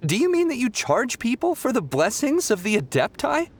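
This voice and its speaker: A man's voice: extremely high pitch, huge pitch swings, moderate pace, heavily acted. An actor playing an irritable, scornful young male pilgrim who talks in an indignant, accusing way in a game.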